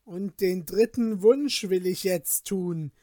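A reader voicing a reckless young man, newly rich and boastful, talking loudly and in agitation. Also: medium pitch, slow, dry recording, studio quality, close to an everyday voice.

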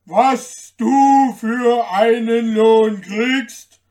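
A man voicing a huge, gruff, gullible old giant from a fairy tale, with a booming, grumbling, drawn-out delivery. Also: steady tone, darkish tone, exaggerated voice. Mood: loud, domineering. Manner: slow, drawn-out, emphatic delivery.

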